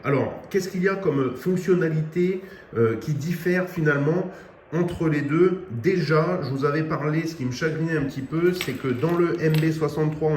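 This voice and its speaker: A man's voice: a little high, a little mellow, reverberant, a little hiss or hum. A man giving a casual product review of a radio, with lots of fillers and restarts. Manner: hesitant, many fillers and restarts.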